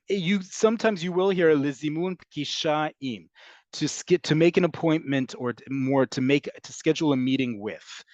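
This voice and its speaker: A man's voice: medium pitch, no echo. A man teaching Hebrew in an online class, patiently explaining and answering students' questions. English is his first language. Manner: patient, explanatory, repeats and rephrases.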